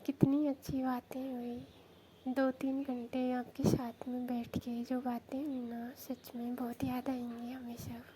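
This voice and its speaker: A woman's voice: fairly high pitch, flat delivery, a little slow, background noise, natural voice. A woman playing a coy village sister-in-law on a phone call, with a Rajasthani accent and a languid, teasing manner. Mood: fond. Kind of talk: staged phone call, casual chat.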